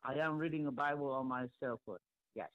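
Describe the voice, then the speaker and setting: A man with an Arabic accent calling in to a radio talk show, speaking haltingly and repeating himself as he searches for words. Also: medium pitch, no room sound. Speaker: caller. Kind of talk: phone-in call. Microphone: phone. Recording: muffled phone line.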